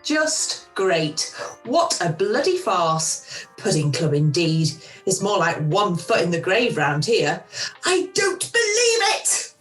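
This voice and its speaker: A woman's voice: fairly low pitch, wildly swooping pitch, takes it slowly, soft background music, full character voice. A woman acting an exasperated, sarcastic friend in an amateur radio play, with a dry, exclamatory delivery. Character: Frankie, exasperated, sarcastic woman, easily scandalised. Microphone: video call from home. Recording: roomy home video-call recording.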